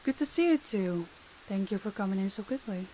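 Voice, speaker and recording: A woman plays a commanding crime boss with a medium-pitched voice. She is coolly businesslike and formal, giving orders with clipped authority in an audio roleplay, over faint background noise. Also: animated, brisk, mellow, close to an everyday voice, low-quality recording. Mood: cool, polite. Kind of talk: roleplay audio drama acting.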